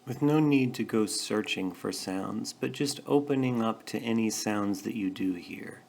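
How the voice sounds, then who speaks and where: A man leading a guided meditation, speaking gently and in a measured way with pauses between short phrases. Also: fairly low pitch, creaky. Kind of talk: guided meditation, calm instruction.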